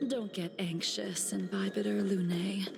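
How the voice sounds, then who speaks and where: A woman voicing a calm, haughty, cryptic swordswoman in a video game, with soft breathy, measured delivery. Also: lowish voice. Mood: calm, teasing. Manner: slow, measured, disdainful. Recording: background music under the voice.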